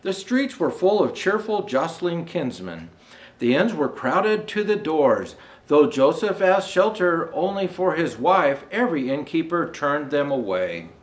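An older man in a Santa role reading a children's storybook aloud, deliberately and with expressive rises on his phrases. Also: a little high, rough recording. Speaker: storyteller dressed as Santa Claus.

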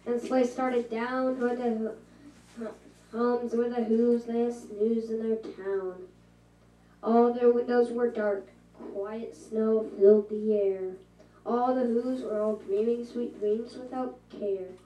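A woman reading a rhyming story aloud at a school shadow puppet show, slow and rhythmic. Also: a little high, steady tone, warm tone, highs cut off. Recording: echoey room from far off.

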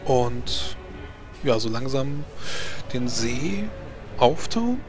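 A man's voice: medium pitch, big pitch swings, drawn-out words. A man narrating a tabletop game as game master, often hesitating and repeating words as he rules. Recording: music playing behind the voice. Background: background music.

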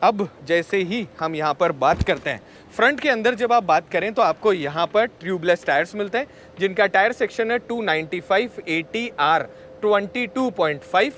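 Chatty high-voiced man at an outdoor expo. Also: lively intonation, moderate pace, fairly bright tone, a little hiss or hum. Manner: chatty, addresses viewers as friends. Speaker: vehicle reviewer. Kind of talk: walkaround presentation.

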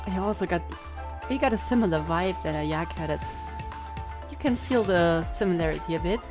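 Young female streamer, lowish pitch, informal reaction style, tentative thinking-aloud delivery, some music underneath. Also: animated, moderate pace. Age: young adult. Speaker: reaction video host and streamer. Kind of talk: casual reaction commentary. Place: indoor room at home.

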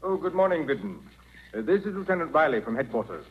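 An actor playing a precise, formal, impatient museum director in a 1940s radio drama. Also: male voice, on the high side, sing-song, fast talker, a little hiss or hum. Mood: brisk. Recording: dull 1940s radio recording.

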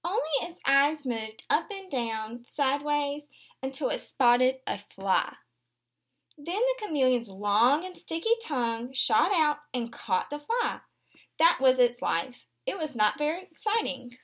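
A woman in her twenties reads a children's picture book aloud like a kindergarten teacher. Her voice is high, with big swings in intonation. She explains things simply and expressively for little listeners. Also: very animated, measured pace, fairly bright tone. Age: 20s. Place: small furnished room indoors.

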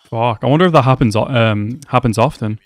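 A man's voice: mid-range voice, brisk, no room sound, clean studio sound. A young man casually reacting to an anime episode, chatty and swearing a lot, calling the characters bro. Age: young adult. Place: small room at home. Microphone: headset mic.

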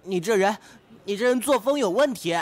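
A man's voice: sits high, lively intonation, a little fast. A man playing an earnest, naive male student with a crush in an audio drama, indignant and very literal. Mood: jealous, upset. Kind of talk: amateur Chinese audio drama acting.

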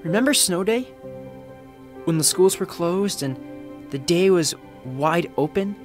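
A young man performing commercial voiceovers in a studio, with a hushed, intimate and measured delivery. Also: high voice, lively intonation. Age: young adult. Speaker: voice actor. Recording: music bed behind. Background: music beds.

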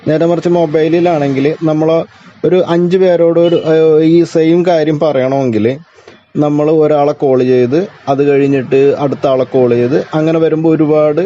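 Male presenter, Malayali accent, mellow dark tone, informal explainer delivery with halting repeats, lo-fi sound. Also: fairly high pitch, fairly even intonation. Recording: dull lo-fi audio with clear background noise.

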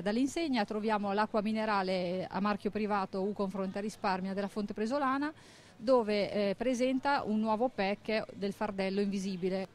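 A woman in her forties, a marketing director, presenting in a measured, businesslike way with frequent hesitations, speaking into a handheld mic inside a supermarket. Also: mid-range voice, a little mellow, a little hiss or hum. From Italy. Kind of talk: corporate presentation.

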